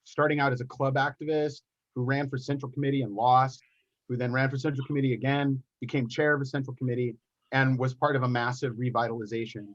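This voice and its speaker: A man's voice: medium pitch, level tone, fast talker, muffled recording. A man speaking as a party officer candidate at a forum, earnest and candid, with hesitant restarts.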